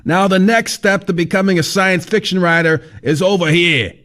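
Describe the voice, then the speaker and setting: A man's voice: sits high, moderate pace, a bit bright, pitched above the performer's own voice. A man voicing a rotund, pushy writing mentor who talks in a showy, theatrical way.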